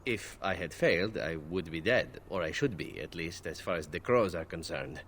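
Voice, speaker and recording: Smooth elf assassin, low and bouncy, teasing, game voice acting. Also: male voice, animated, moderate pace, a bit bright, a little hiss or hum. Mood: sly. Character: charming, glib male elf assassin. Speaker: game character.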